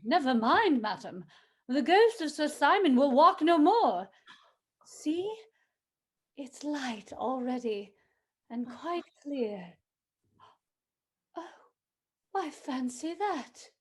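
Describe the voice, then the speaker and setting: Actress as a slow, swooping, high-voiced housekeeper. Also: female voice, very animated, full character voice. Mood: soothing. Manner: deferential, theatrical. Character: deferential, soothing female housekeeper. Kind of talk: radio play acting.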